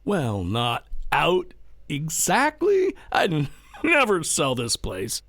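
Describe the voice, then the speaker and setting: A man's voice: on the high side, wildly swooping pitch, takes it slowly, fairly bright tone, dry recording, exaggerated voice. A man playing a brash, hard-drinking ranch owner in an audio drama, blustery, folksy and defiant. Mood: boastful, tipsy. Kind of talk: full-cast audio drama acting.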